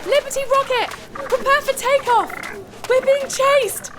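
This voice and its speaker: A woman playing a feisty, excitable young adventurer in a science fiction audio drama, speaking in urgent exclamations. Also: very high voice, measured pace, background noise. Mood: excited, indignant. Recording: loud music under the voice.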